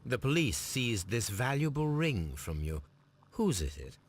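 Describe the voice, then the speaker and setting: A man playing a composed detective in a video game, probing in a measured, formal and coolly inquisitive manner. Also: mid-range voice, sing-song, husky, full character voice. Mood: cool interrogation. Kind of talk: dramatic video game acting.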